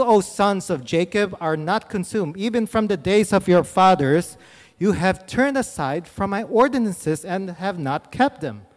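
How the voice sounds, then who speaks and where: High-voiced preacher in a hall. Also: male voice. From Philippines. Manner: conversational, explaining scripture with asides. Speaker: pastor. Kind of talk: sermon teaching. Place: large church stage. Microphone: handheld mic. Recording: reverberant hall.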